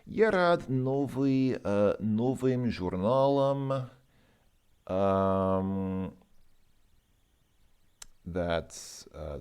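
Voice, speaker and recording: Low, slow, creaky-voiced man. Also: a little mellow. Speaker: language learner presenting lesson exercises. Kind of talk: informal lesson walkthrough, thinking aloud.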